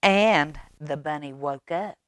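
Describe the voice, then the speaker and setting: Slow, expressive woman reading to children. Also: low-pitched, dry recording, clean studio sound. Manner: expressive, dramatic pauses, coaxing questions to young listeners. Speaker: library storytime reader. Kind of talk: children's read-aloud storytelling.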